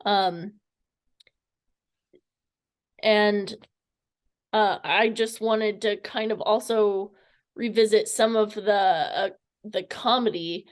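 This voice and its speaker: A woman's voice: medium pitch, steady tone, slow, long pauses. A woman conducting a casual interview, phrasing her remarks and questions tentatively.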